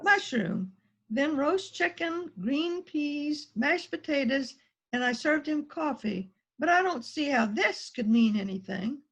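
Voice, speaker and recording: A woman's voice: high voice, expressive, measured pace, a bit bright, full character voice, rough recording. A woman playing a polite, composed housewife with a secret in an amateur readers theater rehearsal, speaking in a mannered way. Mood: innocent. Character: polite, outwardly innocent housewife, secretly a murderer.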